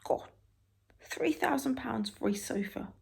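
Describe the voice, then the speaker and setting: A British woman with a raspy voice, softly reading newspaper stories for ASMR and adding wry, halting asides. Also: high voice, animated. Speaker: ASMR creator.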